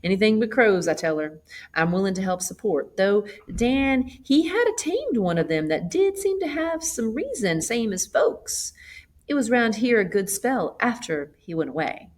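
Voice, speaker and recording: A woman's voice: medium pitch, big pitch swings, normal speed, pitched above the performer's own voice, lightly acted. A woman voicing a chatty, hospitable old country grandmother in a storybook, with a folksy, gossipy manner. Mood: affectionate, chatty. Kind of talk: storybook character voice.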